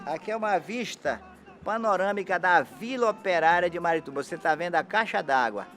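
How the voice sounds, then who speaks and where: A man's voice: high voice, expressive, fairly quick, a bit bright, faint music behind. A Brazilian man explaining old photographs of his hometown in a casual, pointing-out way, often repeating "here" and "look". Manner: pointing things out, repeating "aqui" and "olha". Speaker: local resident showing old photos.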